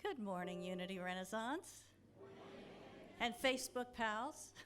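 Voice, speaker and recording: A woman hosts a church service in a mid-range voice. She chats informally and reads devotional passages with deliberate pauses. Faint music can be heard along with her. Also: medium pitch, expressive. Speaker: church service platform host. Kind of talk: informal welcome and devotional reading. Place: church sanctuary.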